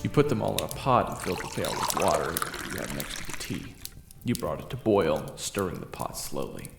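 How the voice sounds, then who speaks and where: A man narrating a roleplay story in the first person, addressing the listener as you, with an echo and loud music behind him. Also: deep voice, a little fast, vocal fry. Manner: second-person storytelling, steady.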